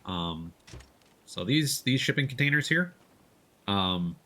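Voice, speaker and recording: Low-pitched man commentating on a game. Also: sing-song, slow pace, pushed and bright. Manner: explanatory, easygoing. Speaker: game streamer. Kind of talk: casual gameplay commentary, explaining as they play. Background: video game sound.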